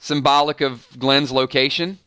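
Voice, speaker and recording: A man's voice: medium pitch, steady tone, moderate pace, bright, forceful tone, clean tone, no room sound. A man hosting a fan live stream, chatting casually with viewers and breaking off mid-sentence with "hold on" and "wait a minute.". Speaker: fan channel host. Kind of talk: live-stream commentary reading chat.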